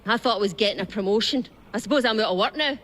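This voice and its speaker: A woman's voice: mid-range voice, very fast, fairly bright tone, close to an everyday voice. A woman voicing a salty, blunt Victorian train driver with a Scottish accent and a cheeky manner in a game cutscene. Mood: wary, cheeky. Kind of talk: dramatic game cutscene acting.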